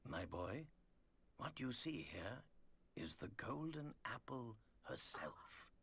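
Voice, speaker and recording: An actor playing a theatrical, declamatory character in an animated video game cutscene. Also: male voice, medium pitch, takes it slowly, husky, heavily acted. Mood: measured, revealing.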